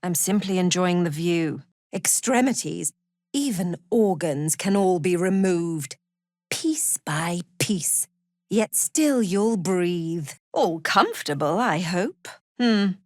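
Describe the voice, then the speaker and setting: A woman playing a cruel, haughty prison warden in a fantasy video game, menacing and condescending, with measured, deliberate delivery. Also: on the low side, lively intonation, dry recording, studio quality. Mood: sneering menace. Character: cruel, haughty female prison warden, zealous cult follower.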